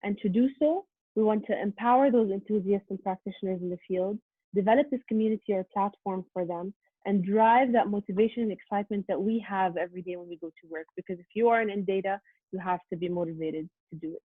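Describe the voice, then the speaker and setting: A woman giving a prepared, earnest presentation over a video call, steady with frequent um fillers. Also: medium pitch, fast talker, breathy edge, no room sound. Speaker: conference presenter. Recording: tinny phone-like line.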